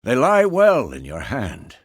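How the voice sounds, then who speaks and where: Gruff old monster hunter mentor for a fantasy game, gravelly, swings a lot in pitch, super dry close recording. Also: male voice, wildly swooping pitch, no echo, full character voice. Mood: stern, practical. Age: older. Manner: gruff, measured, instructive. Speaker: video game voice actor. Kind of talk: video game character dialogue.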